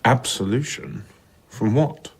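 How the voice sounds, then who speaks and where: Husky, inquisitive gentleman. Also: mid-range voice, slow pace. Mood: probing. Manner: probing, matter-of-fact. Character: inquisitive, sensible male gentleman listener. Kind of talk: conversational audio drama dialogue.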